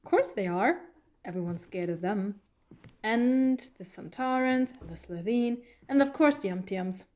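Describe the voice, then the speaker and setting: Clever, coaxing heroine female performer, mid-pitched, conversational and teasing, for an audio drama about an alien traveller. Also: lively intonation, measured pace, darkish tone, close to an everyday voice. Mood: teasing, probing. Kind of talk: fan audio drama acting.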